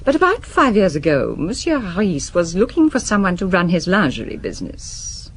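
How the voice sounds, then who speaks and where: A woman's voice: fairly low pitch, sing-song, normal speed, a little hiss or hum. An actress playing a proud, self-possessed Parisian businesswoman in a radio drama, formal, guarded and defensive. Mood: composed, guarded.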